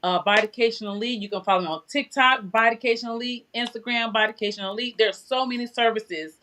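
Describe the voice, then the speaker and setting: A woman's voice: on the high side, a little fast, bright, forceful tone. A woman in her forties, a guest promoting her business in casual chat, speaking over a phone video call. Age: 40s. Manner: chatty, promotional, repeats "you know". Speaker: guest, aesthetics business owner.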